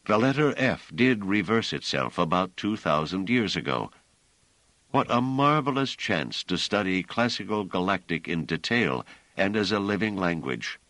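A man voicing an eager, scholarly male linguist who gets excited easily. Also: low voice, moderate pace, no room sound, voice pushed up, poor audio. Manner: excitable, enthusiastic.

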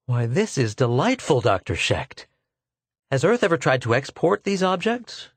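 A man's voice: medium pitch, big pitch swings, normal speed, slightly rough, no room sound, pitched above the performer's own voice. A man doing a blunt, impatient archaeologist. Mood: curious. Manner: blunt and exasperated. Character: frank, impatient male archaeologist.

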